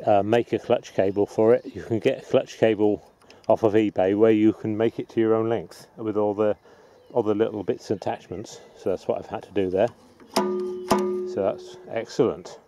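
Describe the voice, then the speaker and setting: A middle-aged man giving a casual, unhurried, matter-of-fact gardening vlog demonstration in a rambling way. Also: lowish voice, fairly even intonation, mellow, faint music behind. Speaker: allotment gardener presenting own video. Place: outdoors on an open allotment plot. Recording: outdoor background noise with birdsong.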